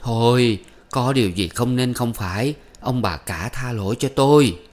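A man's voice: mid-range voice, lively intonation, measured pace, voice pushed up, lightly acted. A man voicing a furious, domineering older mother-in-law who scolds and wails melodramatically. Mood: raging, wailing. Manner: scolding, wailing, self-pitying.

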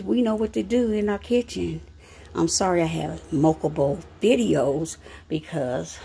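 Low gravelly woman rambling in her kitchen. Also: dark tone. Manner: rambling, chatty, repeats herself. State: short of breath. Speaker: vlogger. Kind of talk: casual home cleaning vlog. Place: home kitchen, indoors. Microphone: phone camera, speaking off-screen.

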